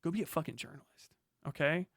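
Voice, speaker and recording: An American man delivering an impassioned, profane livestream rant, forceful and emphatic, slipping into imagined dialogue as he goes. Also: fairly high pitch, expressive, fast, gravelly, no echo, studio quality. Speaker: streamer.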